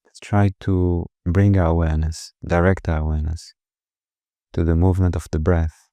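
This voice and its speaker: A man with a very deep voice guides a meditation gently and slowly. His tone stays very even, with little rise or fall, and he leaves soothing pauses between phrases. The sound is dry and close. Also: dry recording.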